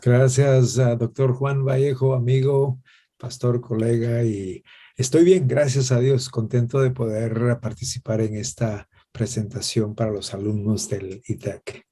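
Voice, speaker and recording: A man, answering in an interview in a gracious, halting way with many pauses. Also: medium pitch, flat delivery, warm tone. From Guatemala. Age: 60s. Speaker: interview guest, pastor and worship musician.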